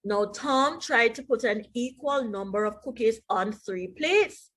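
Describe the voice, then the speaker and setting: A woman teacher reading a story aloud to young children expressively, with deliberate emphasis and questions to her listeners. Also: on the high side, animated, a bit bright.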